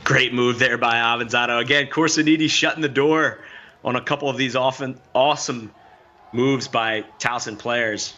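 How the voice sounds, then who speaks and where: A man's voice: mid-range voice, steady tone, moderate pace, sharp tone, lo-fi sound. A man giving color commentary on a lacrosse game, loose and rambling, with lots of "you know" fillers. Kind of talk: sports analysis.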